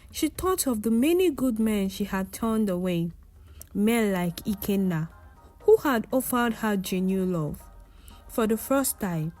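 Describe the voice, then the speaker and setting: A woman narrates a folktale in a scripted storytelling style. Her pace is unhurried and her tone soft-edged and dark, at a middling pitch. Faint music plays behind her.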